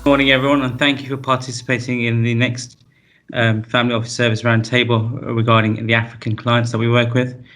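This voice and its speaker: A man's voice: medium pitch, flat delivery, a little fast, a bit bright, faint music behind. A man chairing a professional roundtable, inviting each panelist to introduce themselves.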